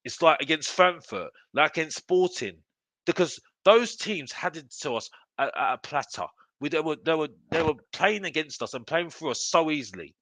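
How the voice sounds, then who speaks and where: A man's voice: fairly high pitch, animated, normal speed, fairly bright tone, no echo. A man hosting a live football fan show, ranting in an emphatic, repetitive, exasperated way. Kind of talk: live opinionated fan rant to viewers.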